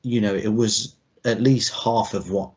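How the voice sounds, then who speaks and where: A man's voice: on the low side, fairly even intonation, unhurried, husky. A man in his thirties co-hosting a podcast, chatting casually in a loose, rambling way. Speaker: podcast co-host. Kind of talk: casual chat. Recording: dull lo-fi podcast audio.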